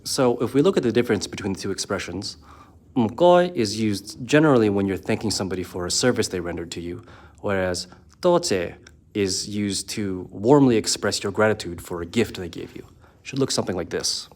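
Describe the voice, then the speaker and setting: A man explaining the ideas behind a language point in a measured, scripted style, leaning on key words. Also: lowish voice, animated, darkish tone. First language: English.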